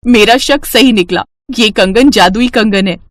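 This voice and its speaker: A woman's voice: on the high side, a little slow, no echo, lightly acted. A woman voicing a caring, cautious mother in a cartoon story, speaking earnestly and protectively. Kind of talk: cartoon voice acting.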